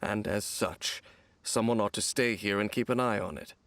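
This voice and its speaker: A man voicing a calm, guarded wandering swordsman in a game cutscene, speaking in a measured, reserved way. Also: fairly low pitch, no room sound. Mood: matter-of-fact.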